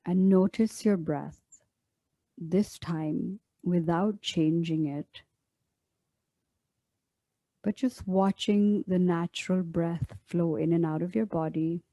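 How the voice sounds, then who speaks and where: A woman leading a guided meditation as a teacher, speaking in a gentle, soothing, unhurried way with long pauses. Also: on the low side, no echo.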